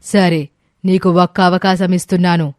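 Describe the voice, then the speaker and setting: Woman playing a stern demoness, flat and menacing. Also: lowish voice, moderate pace, no room sound, clean studio sound, lightly acted. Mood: stern, threatening. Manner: commanding, scolding. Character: stern, threatening brahma demoness punishing a lazy man. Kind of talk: animated moral story voice acting.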